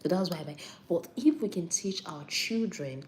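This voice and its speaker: Low-voiced Nigerian woman chatting. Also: expressive, normal speed, a little mellow, slight background noise. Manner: chatty, repeats points for emphasis, answers viewer comments. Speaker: health talk host on a live stream. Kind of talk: casual live-stream health talk.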